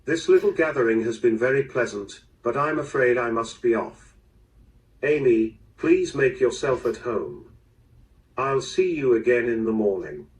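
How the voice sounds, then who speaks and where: Actor as formal estate gentleman, lively intonation, distant sound. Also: mid-range voice, close to an everyday voice. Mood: smooth, firm. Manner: formal, measured, polite. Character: composed, proper man of a wealthy estate family. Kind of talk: mystery audio drama acting. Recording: distant, played through a small speaker.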